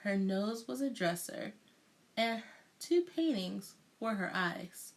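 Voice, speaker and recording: Slow, expressive woman reading a storybook. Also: mid-range voice. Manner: deliberate, dramatic storytelling delivery. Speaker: storyteller reading a picture book aloud. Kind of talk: expressive children's read-aloud narration.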